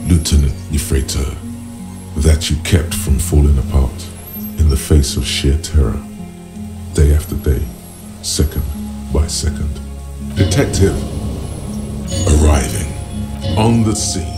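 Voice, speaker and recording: A man voicing a solemn inner voice of a detective's mind in a video game, grave, deliberate and portentous. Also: rumbling low. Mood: grave reverence. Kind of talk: dramatic video game narration. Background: music.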